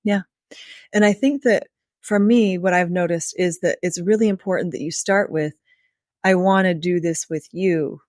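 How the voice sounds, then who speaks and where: A woman's voice: fairly low pitch, normal speed, darkish tone, dry recording, studio quality. A woman hosting a podcast interview, speaking in an affirming, conversational way and building on her guest's points. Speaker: podcast host.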